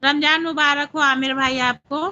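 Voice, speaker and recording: A woman's voice: high voice, flat delivery, normal speed, sharp tone, dull audio. A woman hosting a casual live stream, chatting with viewers and reading out their comments.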